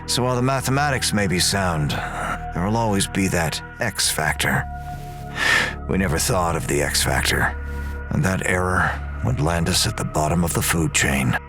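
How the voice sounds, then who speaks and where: An actor playing a grim, weary, commanding space ranger in a sci-fi audio drama, with stern, measured delivery. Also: male voice, low-pitched, lively intonation, music in the background. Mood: somber narrating.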